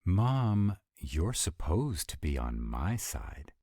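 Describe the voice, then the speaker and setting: Deep male voice, man acting theatrical supernatural dinner guest, audio drama, animated range, husky, dry close sound. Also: slow pace, no room sound, full character voice. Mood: flustered, defensive. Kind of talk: scripted roleplay audio drama.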